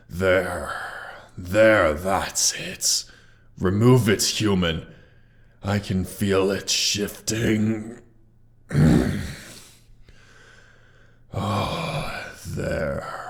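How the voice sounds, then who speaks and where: A man voicing a proud, mighty dragon with a gravelly, pompous, condescending delivery and an effect on the voice, in a scripted roleplay audio. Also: low voice, full character voice. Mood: pained, relieved. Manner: pompous, condescending, deliberate theatrical phrasing. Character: proud, mighty male dragon, haughty yet grudgingly grateful. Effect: sound effects processing on the voice.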